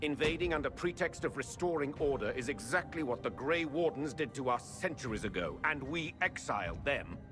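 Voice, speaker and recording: A man's voice: medium pitch, animated, fairly quick, hardly any pauses, music in the background, natural voice. An actor playing an indignant male diplomat who makes pointed accusations in a game cutscene. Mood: accusing. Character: indignant middle-aged male envoy, accusing.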